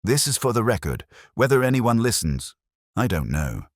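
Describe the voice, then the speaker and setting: Man reading as composed, brittle wronged woman, husky-voiced. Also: fairly low pitch, very animated, normal speed, dry recording, clean studio sound, pitched above the performer's own voice. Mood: composed, bitter. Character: wronged female clerk, composed but brittle, confessing on tape.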